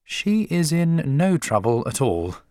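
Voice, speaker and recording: Man voicing an earnest, raised-pitch lady teacher. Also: very animated, takes it slowly, a little mellow, dry recording, clean studio sound. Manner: earnest, well-mannered, firm. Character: polite, resolute, slim young woman schoolteacher.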